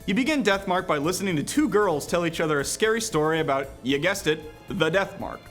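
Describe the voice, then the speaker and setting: Male reviewer, higher-pitched and very lively in intonation, playful scripted narration with casual asides, faint music underneath. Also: brisk. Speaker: video game reviewer.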